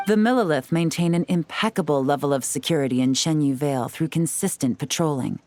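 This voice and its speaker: A woman acts a shrewd female secret agent in a video game, with a low-pitched voice. She sounds composed, confident and coolly instructive over faint music. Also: fairly quick, a little mellow, no room sound, lightly acted. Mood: assured, instructive.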